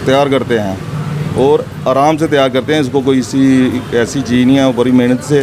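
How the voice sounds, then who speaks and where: Middle-aged male shopkeeper, plain rambling answers, handheld mic, clear street noise behind. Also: medium pitch, steady tone, normal speed. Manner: plain, rambling, repeats himself. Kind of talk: interview. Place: inside a small shop. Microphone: handheld mic held to him.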